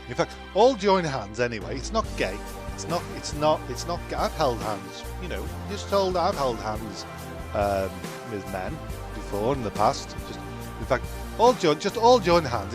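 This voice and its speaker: A man's voice: medium pitch, lightly acted. A man playing an eager castaway in a comic radio play, rambling and cajoling. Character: eager, coaxing male castaway singalong leader. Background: music.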